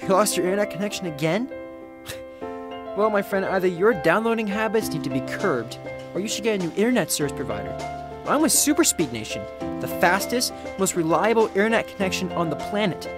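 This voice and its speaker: A young man performing commercial voiceovers in a studio, with a hushed, intimate and measured delivery. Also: high-pitched, lively intonation. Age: young adult. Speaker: voice actor. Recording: music bed behind. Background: music beds.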